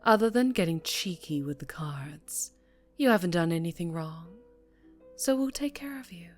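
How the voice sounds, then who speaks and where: A woman playing a dangerously charming female mafia boss in a scripted ASMR roleplay, breathy and often near a whisper, teasing and softly threatening. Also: fairly low pitch, animated, moderate pace, soft background music, natural voice. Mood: calm threatening.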